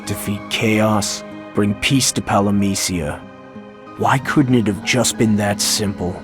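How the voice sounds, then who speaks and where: A man's voice: on the low side, steady tone, slow. A man playing a world-weary, skeptical warrior hero in a video game, with a dry, casual, sardonic manner. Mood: weary regret. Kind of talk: understated video game cutscene acting. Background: game music.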